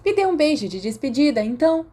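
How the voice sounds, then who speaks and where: A woman plays a fiery, self-assured teenage schoolgirl in an audiobook. The voice sits high and comes out cheeky and emphatic, with a dramatic streak. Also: very animated, fast, mellow. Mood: indignant. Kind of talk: audiobook character acting.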